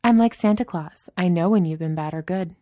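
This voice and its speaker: A woman's voice: on the low side, brisk, warm tone, no room sound, lightly acted. Brash female boss, put on by a woman narrator, teasing, theatrical, raised voice, close dry sound, for storytelling. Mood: gleeful teasing. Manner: teasing, mock-dramatic.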